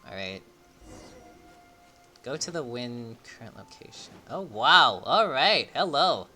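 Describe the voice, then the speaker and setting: A young man streams a video game with casual, offhand commentary. His voice is bright and mid-pitched, moving around a lot as he reacts. Faint music plays behind him. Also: sing-song, slow pace. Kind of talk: casual live gameplay commentary.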